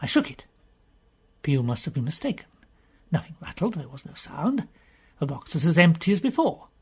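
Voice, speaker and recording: A man narrating a mystery story as an audiobook reader, in a theatrical, drawn-out way with hushed passages and a husky, breathy voice. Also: fairly high pitch, sing-song.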